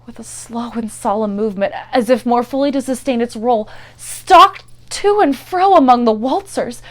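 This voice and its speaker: Higher-voiced woman narrating gothic horror theatrically. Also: normal speed. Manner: theatrical, swinging from hushed to intense. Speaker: actor and performer reading a story. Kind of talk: dramatic scripted narration of a gothic horror tale.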